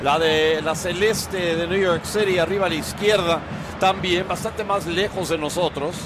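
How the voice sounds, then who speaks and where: A man's voice: high voice, fairly even intonation, normal speed. A man giving live play-by-play commentary on a soccer match. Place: open-air soccer stadium. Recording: noisy outdoor stadium broadcast. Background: crowd chants and stadium ambience.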